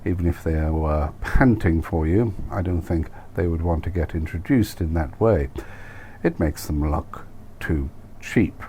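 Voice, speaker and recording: A man's voice: sits low, unhurried. A man reading his own novel aloud as scripted first-person narration in a wry, mannered way. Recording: clear steady background noise.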